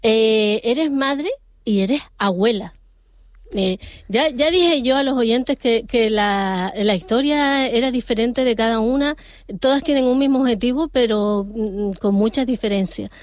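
A woman radio host who interviews her guests gently and reassuringly, with many fillers and restarts. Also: on the high side, a little slow, dry recording.

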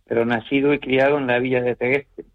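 Measured novelist on muffled radio. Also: male voice, medium pitch, flat delivery. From Canary Islands. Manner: measured, thoughtful, frequent hesitations and tag questions. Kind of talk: radio interview answers.

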